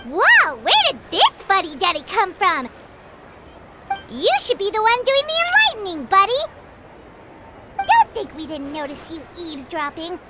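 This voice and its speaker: A woman voicing a small, excitable, cheeky fairy companion in a video game, with a squeaky childlike voice and a brash manner. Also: heavy background noise, heavily acted. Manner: brash, speaks of self in third person. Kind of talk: video game character acting. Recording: music behind the voice.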